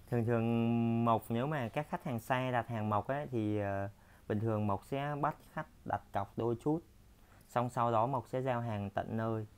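A man's voice: mid-range voice, a little mellow, clear, resonant tone. A man giving a chatty, repetitive sales walkthrough of furniture in his workshop, filmed on a handheld phone. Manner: chatty, repetitive, filler-heavy.

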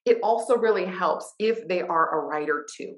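A woman's voice: on the low side, normal speed. A middle-aged woman, a writing coach, giving earnest advice in an interview. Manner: earnest, advising. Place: living room couch. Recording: roomy living room sound.

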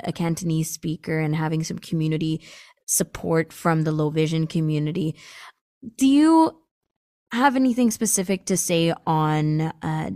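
Low-voiced woman asking reflective questions. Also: no room sound, studio quality. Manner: reflective, gently probing questions. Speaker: guest host. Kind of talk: interview conversation.

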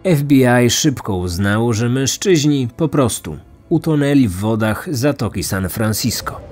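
Guy narrating a true crime podcast, scripted, mid pitch, faint music under him. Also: animated, normal speed, darkish tone.

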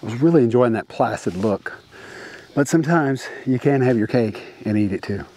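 A man's voice: medium pitch, expressive, normal speed, mellow. A middle-aged man vlogging landscape photography tips outdoors at dusk, talking casually with lots of fillers and restarts.

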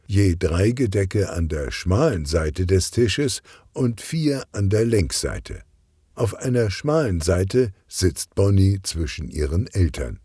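A man reads a children's story as its narrator. His voice is low and mellow, and he tells it unhurriedly with expressive delivery. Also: slow, husky, dry recording, studio quality.

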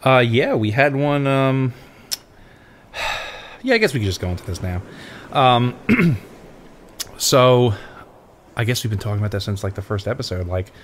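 A man's voice: medium pitch, sing-song, measured pace, long pauses, slight background noise. A middle-aged man co-hosting a podcast, chatting casually and rambling through a story with many fillers. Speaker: podcast co-host. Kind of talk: casual chat.

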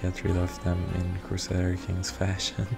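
A man whose first language is Persian, giving hesitant, filler-heavy commentary on a strategy game. His voice is deep and gravelly, slow and flat in pitch. Music plays clearly under his voice. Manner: hesitant, many ums and uhs. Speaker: strategy game video reviewer. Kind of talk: unscripted gameplay commentary. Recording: voice over clear background music. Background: background music.

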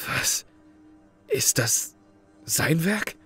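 A man's voice: a little high, expressive, drawn-out words, bright, forceful tone, breathy edge, soft background music, exaggerated voice. Man acting a sober, pragmatic survivalist. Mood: puzzled, wry. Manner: matter-of-fact. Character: sober, pragmatic male survival expert contestant. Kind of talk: German audio horror drama acting.

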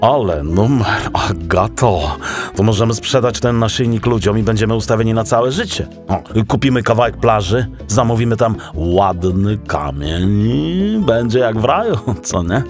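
A man's voice: lowish voice, animated, moderate pace, faint music behind. A man playing a cocky, simple, muscular young male seal in an audiobook, talking like a slangy cool guy. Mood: excited, scheming. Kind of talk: audiobook cartoon-like character acting.

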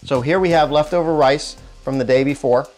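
A man in his forties hosting a cooking show, explaining each step calmly in a home kitchen with small restarts, on a lapel mic. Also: a little high, a little fast. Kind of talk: cooking demonstration. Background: soft music and sizzling wok.

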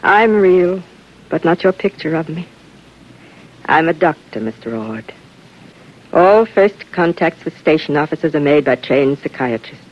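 An American actress playing a calm, wistful woman psychiatrist of sixty-six in a 1950s radio drama. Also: deep voice, unhurried. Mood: wistful, gentle. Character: gentle, wistful sixty-six-year-old woman psychiatrist aboard spaceship. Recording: dull vintage radio recording.

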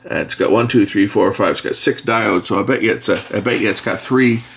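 A middle-aged man giving a casual hands-on narration as an electronics repair presenter, speaking haltingly as he thinks aloud at an indoor workbench. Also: fairly low pitch, vocal fry. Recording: faint background noise, workbench clicks. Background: faint noise, clicks of handled parts.

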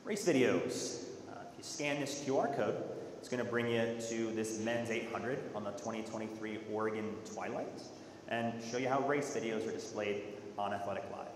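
A man giving an explanatory, halting product presentation at a conference in a large auditorium. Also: lowish voice. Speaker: software presenter. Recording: echoey hall with faint noise.

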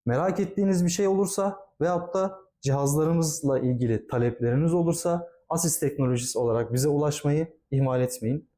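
A man's voice: on the high side, brisk, hardly any pauses, mellow. A man giving a product demonstration as a company presenter, speaking politely and formally, explaining things step by step.

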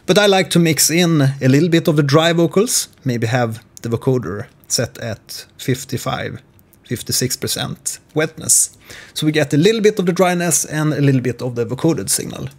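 Man calmly explaining music software, measured delivery. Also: fairly high pitch. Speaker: music software tutorial presenter. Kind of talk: informal screen-share tutorial explanation. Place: small home studio room, indoors.

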